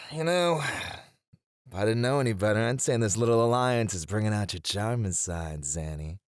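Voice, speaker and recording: A man's voice: on the low side, expressive, a little slow, no echo. A man playing a cocky, shrewd gang leader in a flirty ASMR roleplay, teasing in a playful, theatrical way.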